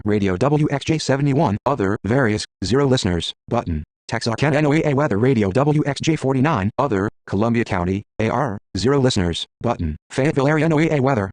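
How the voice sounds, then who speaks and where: Rapid synthetic male screen reader. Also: medium pitch, a little mellow, dry recording. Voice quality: synthetic text-to-speech voice. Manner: clipped, mechanical delivery of names and codes. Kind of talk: flat automated list reading.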